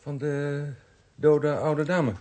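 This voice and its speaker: An actor playing a polite, deferential junior police detective in a radio play, brisk and obliging. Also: male voice, on the high side, level tone, noisy background.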